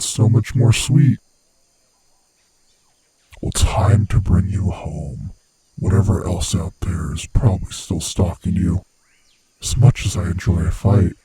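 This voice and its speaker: A man voicing a very husky, deep predatory monster. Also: warm tone, no room sound, heavily acted. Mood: triumphant. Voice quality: husky, breathy, rasping low voice. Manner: slow, menacing, theatrically taunting. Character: predatory male monster hunting human prey, gloating, sinister. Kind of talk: horror ASMR roleplay addressed to the listener.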